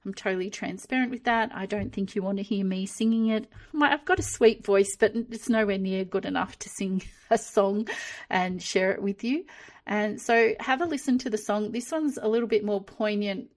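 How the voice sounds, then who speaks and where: Woman in her fifties, podcast host, candid, easy laugh, middle-pitched voice. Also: normal speed. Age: 50s. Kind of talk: reflective solo podcast talk.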